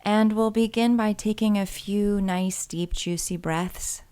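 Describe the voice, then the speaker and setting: A woman leading a guided body scan meditation in a soothing, gentle and unhurried way, leaving pauses between phrases. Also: mid-range voice, steady tone, smooth.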